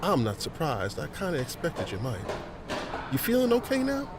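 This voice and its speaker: Animated, high-voiced folksy museum volunteer. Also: male voice, normal speed, noisy background. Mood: friendly, concerned. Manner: chatty, explanatory, avuncular. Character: friendly, folksy older male museum volunteer and newspaper editor. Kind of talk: family audio drama acting.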